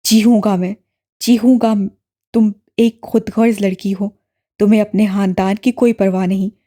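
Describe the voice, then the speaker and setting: A woman voicing a bitter young man who shouts accusations at his sister, in a lowered voice in an audiobook. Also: fairly even intonation, close to an everyday voice. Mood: bitter, shouting. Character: furious young man, bitter estranged brother. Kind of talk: audiobook character voice.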